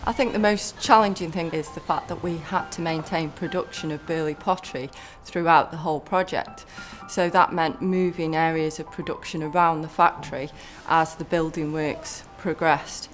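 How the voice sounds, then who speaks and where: Woman, business manager, very low pitch, earnest tone, light music. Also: steady tone, normal speed. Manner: earnest, occasional restarts. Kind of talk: documentary interview.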